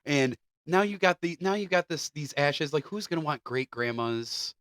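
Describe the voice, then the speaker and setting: A man riffs casually as a comedy podcast co-host. His voice is mid-pitched with a bright, forceful edge. It is recorded close and dry on a podcast mic. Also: animated, normal speed, no echo.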